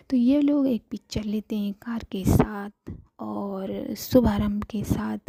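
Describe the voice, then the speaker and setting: Woman storyteller whispering calmly, dark gravelly tone, dry close sound; narrator for a whispered story. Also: no room sound. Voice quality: soft whisper, gravelly undertone. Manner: calm, low-key narration addressed to listeners.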